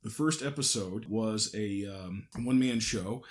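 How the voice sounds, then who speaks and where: A man's voice: lowish voice, measured pace. A man hosting a casual behind-the-scenes podcast, joking and self-deprecating, with hesitant false starts. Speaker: podcast host and audio drama creator.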